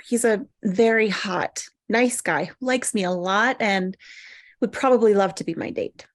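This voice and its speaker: A woman's voice: mid-range voice, a little slow, lightly acted. A woman playing a hapless, defiant single woman in a comedy table read, conversational and falsely breezy. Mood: awkward.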